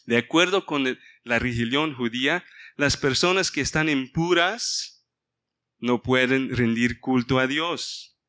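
Bright-voiced man leading a Bible study. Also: medium pitch, unhurried. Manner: mixes in English words, stops to ask the group for help. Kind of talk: informal Bible study sermon.

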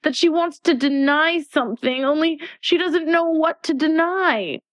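A woman's voice: high voice, measured pace, no room sound, pitched above the performer's own voice. A woman voicing a feeble, fretful queen in a storybook reading, moaning and plaintive.

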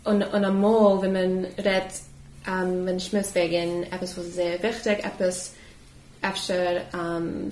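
A woman's voice: medium pitch, roomy sound, slight background noise, low-quality recording. A woman speaking hesitantly in a casual group discussion, stopping often and restarting her sentences. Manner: hesitant, many fillers and restarts.

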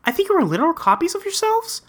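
Girl doing an earnest teen boy, bright voice, swoops around a lot, rambles on breezily like a webcomic dub. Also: very high-pitched, sing-song, quick pace, exaggerated voice. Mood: thoughtful, awkward. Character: earnest, rambling teenage boy recapping his wild adventures. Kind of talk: character dub reading of a webcomic.

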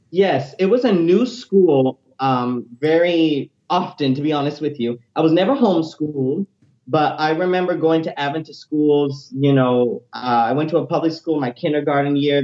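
A man in his late twenties with a high tenor voice, telling his personal story as an interview guest in an animated, energetic way. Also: normal speed, lo-fi sound. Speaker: interview guest, teacher and music minister.

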